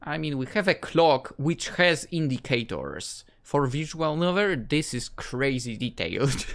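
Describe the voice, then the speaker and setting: A man's voice: on the high side, sing-song, unhurried. A young man from Poland with a Polish accent, playfully chatting and reading game dialogue aloud in a casual let's play. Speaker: gaming YouTuber.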